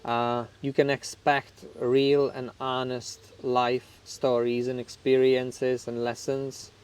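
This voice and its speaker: Man, Czech accent, smooth clear voice, candid reflective vlogger, slightly nervous, small grammar slips. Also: mid-range voice, level tone, slow, darkish tone. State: somewhat nervous on camera. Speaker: channel host. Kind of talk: candid talk to camera about recovery.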